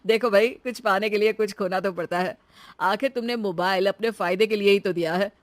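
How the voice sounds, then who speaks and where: A woman's voice: mid-range voice, steady tone, a little fast, dry recording, close to an everyday voice. A woman voicing a reasonable, teasing young husband in a cartoon story.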